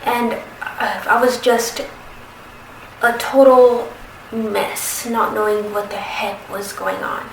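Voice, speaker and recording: A woman in her thirties who stutters, speaking slowly with pauses and restarts in a personal testimony. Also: fairly high pitch, fairly even intonation. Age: 30s. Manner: stutters, with repeated sounds and restarts; slow, careful, with pauses. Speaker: documentary submitter. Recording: roomy, distant from the mic, noisy background.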